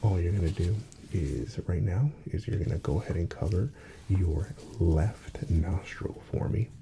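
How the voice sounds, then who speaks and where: A soft-spoken man, at times whispering, gently playing a reassuring doctor in an unhurried ASMR medical exam roleplay. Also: sits low, vocal fry, background noise.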